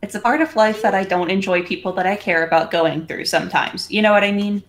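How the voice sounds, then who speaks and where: A woman's voice: medium pitch, fast talker. A woman playing a tabletop roleplaying game, chatting casually and improvising as she goes.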